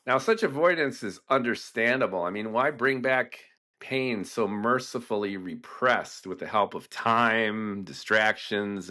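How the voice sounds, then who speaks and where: A middle-aged man telling a personal family story, slow and deliberate, pausing between phrases. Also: on the low side, pushed and bright. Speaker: podcast host and storyteller. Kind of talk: reflective first-person storytelling.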